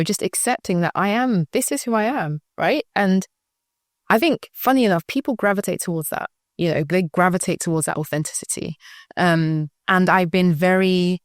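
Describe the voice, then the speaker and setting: Reflective British woman, lowish voice. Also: no echo, studio quality. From United Kingdom. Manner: reflective, often checks in with the listener. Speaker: school founder, guest. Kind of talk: conversational interview answer.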